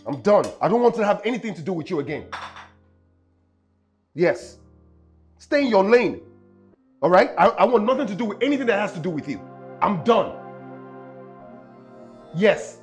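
A domineering young man with a Nigerian accent and a quick temper. He harangues scornfully, his pitch leaping up and down, over faint music in a screen drama. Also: big pitch swings. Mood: curt, fed up. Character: hot-tempered, domineering young male house owner. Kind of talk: film drama acting.

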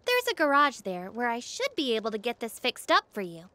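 A woman's voice: a little high, wildly swooping pitch, a little fast, bright, forceful tone, no echo, heavily acted. A woman playing a confident, practical young mechanic in a video game.

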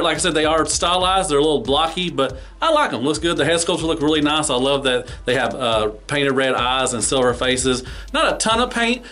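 A man's voice: mid-range voice, a bit bright. A man giving a casual, hands-on toy review in an informal, folksy way, repeating his phrases.

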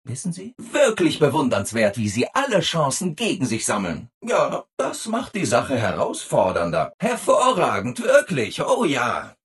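A man's voice: mid-range voice, huge pitch swings, a little slow, few pauses, fairly bright tone, breathy edge, exaggerated voice. A man voicing a relentlessly cheerful, eager-to-please robot assistant in a video game, with chirpy, over-eager, sing-song delivery. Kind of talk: video game character dialogue.

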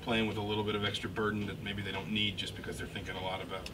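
A man asks a postgame question from a few feet back from the microphone, so his voice sounds thin and distant. He talks quickly and restarts his sentences while he works out the question. Loud room noise sits underneath. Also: fairly low pitch, level tone. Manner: rambling, rephrasing questions. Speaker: sports reporter.

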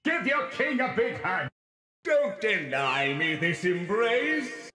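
A man's voice: high-pitched, big pitch swings, slow pace, roomy sound, heavily acted. An actor voicing a grandiose, manic living-bomb king with pompous, theatrical delivery in a video game. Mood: gleefully pompous.

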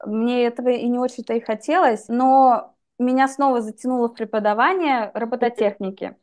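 Candid young woman with a high-ish voice. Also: fairly even intonation, a little mellow. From Russia. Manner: candid, reflective, measured. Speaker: guest, English teacher. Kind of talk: personal story in interview. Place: dim room indoors. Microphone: video call webcam.

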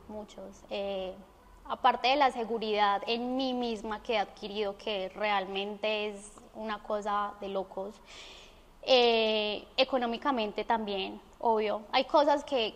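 A young woman with a Colombian accent answering interview questions conversationally in a studio. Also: a little high. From Armenia, Colombia. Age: young adult. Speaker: interview guest. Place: indoor studio set. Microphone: lapel mic. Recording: reverberant studio room.

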